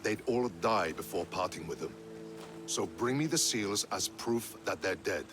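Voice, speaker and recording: Low-voiced, haughty scheming general. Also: male voice, expressive, a little slow, over music. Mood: haughty, determined. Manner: curt, haughty. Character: proud, scheming male Athenian general, ruthlessly pragmatic. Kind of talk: video game cutscene acting.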